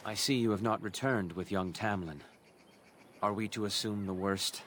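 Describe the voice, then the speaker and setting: Low, subdued elven merchant played by a man. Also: normal speed, a bit bright, a little hiss or hum, close to an everyday voice. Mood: sombre. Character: reserved, sombre male elven clan merchant. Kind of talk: fantasy game acting.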